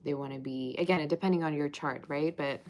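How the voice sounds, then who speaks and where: Casual livestream tarot reader, woman with a low voice, playful and chatty, faint hiss behind. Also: steady tone, brisk, darkish tone. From Russia. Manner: chatty, teasing, sings snatches of old songs. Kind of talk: livestream chat and tarot reading.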